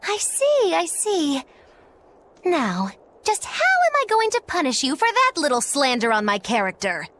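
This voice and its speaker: A woman's voice: sits high, wildly swooping pitch, measured pace, a bit bright, exaggerated voice. A woman voicing a mischievous, flippant young witch in a video game, teasing and theatrical. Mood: theatrical scolding. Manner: theatrical, teasing, sing-song. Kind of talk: video game cutscene voice acting.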